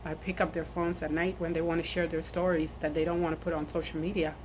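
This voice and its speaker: A woman in her thirties from South Sudan, a nurse, speaking earnestly to camera outdoors in the wind, measured and repeating phrases for emphasis. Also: sits low, flat delivery. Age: 30s. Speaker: nurse and army veteran sharing her story. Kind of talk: personal address to camera. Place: outdoors, windy. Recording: noisy outdoor recording with wind.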